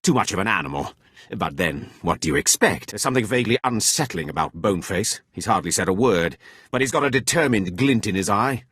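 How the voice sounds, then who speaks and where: An actor playing a cruel, contemptuous male overseer of a dark academy in a video game, sneering and sarcastic in an imperious way. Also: on the low side, lively intonation, brisk, slightly rough, dry recording, clean studio sound. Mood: dry, sardonic. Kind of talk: scripted video game villain dialogue.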